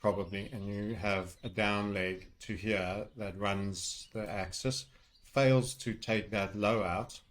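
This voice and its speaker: A man's voice: on the low side, fairly even intonation, takes it slowly. A man talking through technical analysis off the cuff as a trading educator, rambling and heavy on jargon with colourful asides. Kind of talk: unscripted technical chart commentary.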